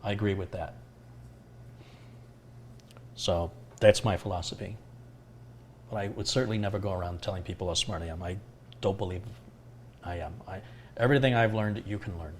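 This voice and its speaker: Middle-aged male host, low voice, calm and reflective, light background hum. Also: moderate pace, long pauses. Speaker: tech livestream host answering viewer questions. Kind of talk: casual live Q&A chat.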